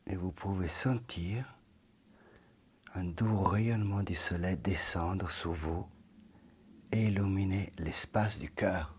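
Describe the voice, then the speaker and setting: A man leading a guided yoga nidra meditation in a soft, calm voice that often drops into a half-whisper. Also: low voice, slow pace, long pauses, a little hiss or hum.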